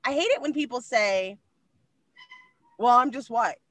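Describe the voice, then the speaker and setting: A woman in her forties co-hosting a podcast over a video call, talking loudly and quickly in a high voice that carries. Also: no echo. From Texas. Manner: animated, loud and fast, with expressive hand gestures. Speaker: podcast co-host. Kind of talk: casual conversation. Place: small room indoors. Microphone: video call webcam.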